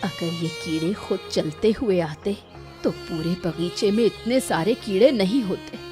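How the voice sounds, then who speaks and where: A woman's voice: lowish voice, measured pace, music in the background, natural voice. A woman playing a worried, shrewd old village mother in a moral-story cartoon. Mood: worried, suspicious. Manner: lamenting, suspicious.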